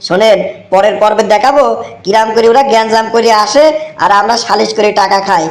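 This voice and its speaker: Man voicing a high-pitched scheming village henchman. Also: fairly quick. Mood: gleeful, conspiratorial. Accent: rural Bangladeshi Bengali. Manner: chatty, cajoling, conniving. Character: scheming, fawning young village henchman stirring up quarrels. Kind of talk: comic cartoon dialogue.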